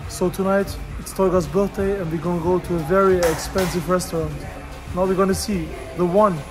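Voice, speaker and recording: A man's voice: high-pitched, fairly even intonation, brisk, over music, rough recording. A man in his thirties vlogging casually from an open-air Muay Thai gym, blunt and joking, in non-native English. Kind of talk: casual vlog talk.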